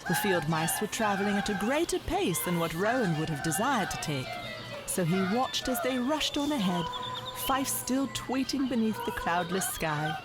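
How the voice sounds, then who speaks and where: Expressive woman narrating a storybook. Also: mid-range voice, moderate pace. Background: music.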